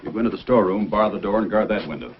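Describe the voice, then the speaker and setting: A man acting a calm, authoritative Mountie sergeant in an old-time radio adventure, speaking firmly and deliberately. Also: on the low side, muffled recording, a little hiss or hum, close to an everyday voice. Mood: commanding. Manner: deliberate, firm, commanding. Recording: old band-limited radio recording, music.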